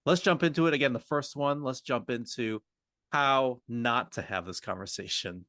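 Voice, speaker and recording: A man's voice: medium pitch, normal speed, bright, forceful tone, dry recording. Casual male podcast co-host, dry sound. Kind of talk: casual conversational hosting.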